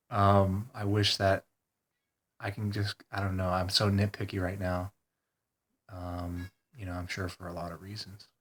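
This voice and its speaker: A congested man talking hesitantly in a rambling personal video diary, full of pauses and ums. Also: low-pitched, fairly bright tone. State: congested, stuffed-up nose. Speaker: vlogger.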